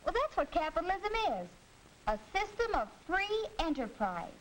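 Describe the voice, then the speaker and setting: High, lively American student. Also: female voice, moderate pace, rough recording. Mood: earnest. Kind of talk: scripted 1940s educational film acting. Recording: hissy 1940s film soundtrack.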